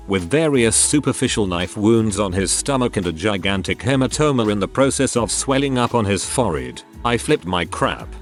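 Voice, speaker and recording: A man narrating forum stories aloud in a scripted reading style, moving from post to post. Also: on the low side. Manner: reads each post aloud in turn. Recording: faint background music.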